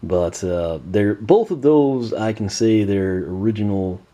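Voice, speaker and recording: Man, hoarse and losing his voice, low mellow tone, chatty unboxing host. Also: animated, measured pace, dull audio. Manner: chatty, frequent "uh" fillers, clears throat. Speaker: toy collector unboxing host. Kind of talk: casual unboxing commentary.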